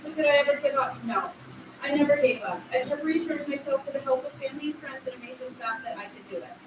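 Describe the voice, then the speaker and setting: A woman's voice: sits high, quick pace. A woman in her thirties reading a sincere, emotional graduation speech from a podium. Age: 30s. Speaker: graduating adult student giving a speech. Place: large echoey hall at a graduation ceremony. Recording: echoey hall, distant mic, faint noise.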